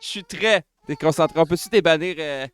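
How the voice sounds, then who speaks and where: A man with a Quebec French accent hosting a casual livestream from home, excitable and quick to exclaim and joke. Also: a little high, clean tone. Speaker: livestream host.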